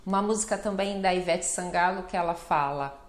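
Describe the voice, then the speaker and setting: A Brazilian Portuguese-accented woman teaching a language lesson, explaining slowly and carefully and often checking in with "né?". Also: lowish voice, roomy sound. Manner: slow, careful explanations with frequent "né?" and lively greeting examples.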